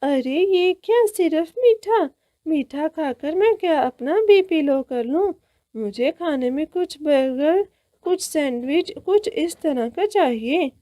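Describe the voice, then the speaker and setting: A woman puts on a spoiled young cartoon bride bird. The voice is very high and shrill, disdainful and complaining, with close, dry sound. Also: lively intonation, slow pace, mellow, no echo. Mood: indignant.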